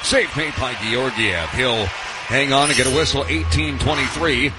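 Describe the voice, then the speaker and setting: A man's voice: medium pitch, unhurried. A man with a North American accent calling live ice hockey play-by-play on the radio, in clipped running description with dry asides. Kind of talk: live sports play-by-play commentary. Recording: live sports radio broadcast, loud arena noise. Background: loud arena ambience.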